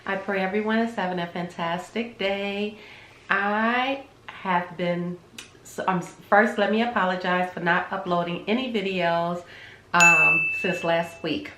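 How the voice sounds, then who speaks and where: A woman's voice: lowish voice, measured pace, bright, forceful tone, slight background noise. Congested woman chatting in an echoey room. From Chicago. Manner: chatty, rambling, frequent ums. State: congested from a cold. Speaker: vlogger. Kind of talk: casual chat to camera.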